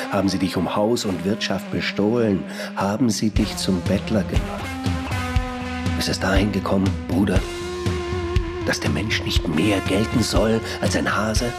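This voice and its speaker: Actor playing a blunt, low-voiced robber. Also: male voice, lightly acted. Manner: blunt, commanding, emphatic. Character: rough, domineering male robber recruiting a comrade. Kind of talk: German radio play acting. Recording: loud guitar music behind the voice.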